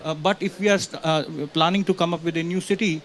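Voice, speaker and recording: A man asks a question at a panel event, haltingly, often padding with "you know". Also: high voice, fairly even intonation, fairly bright tone. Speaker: audience questioner. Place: conference pavilion with small audience. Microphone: handheld event microphone.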